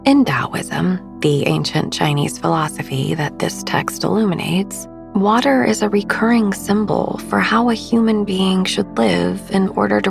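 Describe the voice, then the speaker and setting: A woman podcast host narrating a sleep meditation in a soft, breathy, soothing and gentle manner. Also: mid-range voice. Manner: soothing, hushed, gentle delivery.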